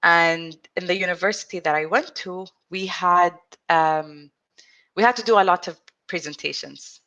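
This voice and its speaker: Woman, thirties, stutter, somewhat low pitch, reflective interview guest, halting restarts. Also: bright, forceful tone, muffled recording. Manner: speaks with a stutter, frequent restarts and pauses; reflective, earnest. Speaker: interview guest, support group founder. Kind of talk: personal storytelling in conversation.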